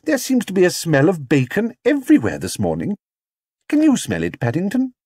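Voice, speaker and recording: Man putting on a brisk, high-pitched fussy mother. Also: wildly swooping pitch, a little mellow, breathy edge, dry recording, clean studio sound, exaggerated voice. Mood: puzzled, suspicious. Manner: prim, brisk, chiding. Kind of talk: children's storybook character voice.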